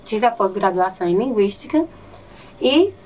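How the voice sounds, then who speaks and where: A woman's voice: medium pitch, animated, moderate pace, darkish tone. A woman with a Northeastern Brazilian accent, a teacher answering interview questions earnestly and often adding "né". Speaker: teacher and poet, interview guest. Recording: distant mic with faint ambient noise.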